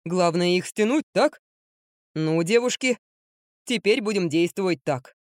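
A woman voicing a practical, good-natured older uncle in an audiobook, grumbling gently and gruffly. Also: lowish voice, expressive, no echo, clean studio sound. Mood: good-natured, commanding. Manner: unhurried, gruffly good-humored.

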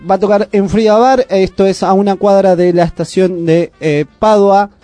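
A man with an Argentine accent hosting a casual community radio show, chatty and full of "eh" pauses. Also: sits high, steady tone, dark tone, no echo, soft background music. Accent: Argentine Spanish. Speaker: radio host. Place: radio studio.

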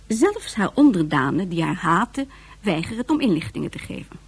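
A woman's voice: fairly low pitch, big pitch swings, a little fast, slightly rough. A woman narrating a science fiction radio drama in a scripted, dramatic storytelling style.

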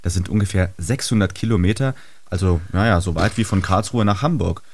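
Deep-voiced male radio host. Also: brisk. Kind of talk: chatty radio presentation for children. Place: dry radio studio.

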